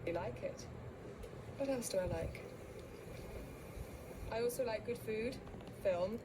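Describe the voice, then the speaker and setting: An actress playing a friendly young marketing assistant who clearly and steadily introduces herself in a course video. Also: female voice, fairly high pitch, takes it slowly, noisy background.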